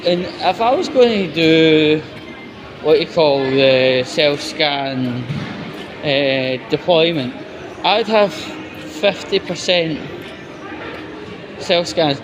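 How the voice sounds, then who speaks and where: Slow-talking Scottish man over loud noise. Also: fairly high pitch. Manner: blunt, sweary, conversational. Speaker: video blogger, host. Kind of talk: casual walk-and-talk vlog monologue. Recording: noisy outdoor walking recording, constant background noise.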